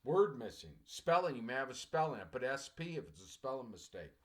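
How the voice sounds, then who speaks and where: A man's voice: medium pitch, very animated, a little fast. A man teaching a college English class in a conversational, repetitive lecture, often addressing the class directly.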